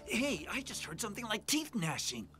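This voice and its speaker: An actor playing a brave young desert prince hero, earnest and resolute. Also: male voice, high-pitched, very animated, normal speed, sharp tone, faint music behind, heavily acted. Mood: thoughtful.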